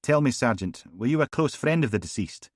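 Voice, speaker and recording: A man's voice: mid-range voice, animated, a little fast, dry recording, clean studio sound, voice pushed up, close to an everyday voice. A man voicing a pompous, mocking male police inspector who needles a junior officer. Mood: sneering. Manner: mocking, sarcastic.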